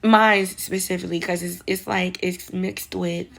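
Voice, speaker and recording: Woman, New York accent, young adult, slightly low voice, chatty vlog talk to viewers. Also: fairly even intonation, measured pace, vocal fry, a bit bright. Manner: chatty, speaks straight to viewers, many "like" fillers. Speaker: vlogger. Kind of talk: casual advice vlog.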